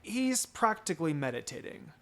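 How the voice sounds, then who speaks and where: A man's voice: on the high side, big pitch swings, moderate pace. A man voicing an eager, friendly little boy in a fan fiction reading.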